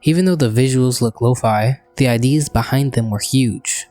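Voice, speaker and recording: A man narrating a scripted video essay in a measured, explanatory manner. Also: mid-range voice, dark tone, faint music behind.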